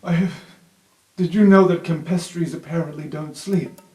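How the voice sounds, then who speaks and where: Exhausted young male underling, played by a man, polite and jittery, halting delivery; roomy sound, light music behind. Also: on the high side, steady tone, a little mellow, voice pushed up, natural voice. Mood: frazzled, pleading. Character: exhausted, jittery, deferential young underling calling his superior sir. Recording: echoey room, music underneath.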